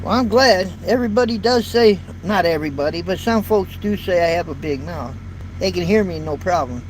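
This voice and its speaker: A man's voice: high voice, expressive, fairly quick, poor audio. A man in his fifties, a truck driver, chatting casually to viewers from his parked truck cab in a folksy, rambling way. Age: 50s. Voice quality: light voice. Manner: rambling, folksy, repeats words. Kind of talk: casual live chat to viewers. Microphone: built-in phone or camera mic. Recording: faint road and traffic noise.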